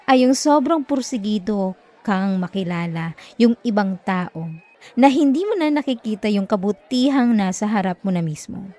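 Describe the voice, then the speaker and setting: Woman acting a possessive, high-voiced Filipino schoolgirl bully. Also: mellow. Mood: frustrated, jealous. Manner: condescending, taunting, dramatic swings from slow murmurs to exasperated bursts. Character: possessive, obsessive young female student bully, smug tsundere. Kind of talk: roleplay ASMR acting, direct address to listener.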